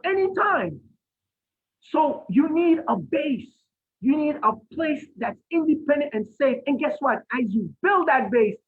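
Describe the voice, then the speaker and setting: A Haitian-born man giving an impassioned lecture over a video call, asking rhetorical questions and rising to near shouts. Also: extremely high pitch. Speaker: guest speaker. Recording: phone-like line from an online event.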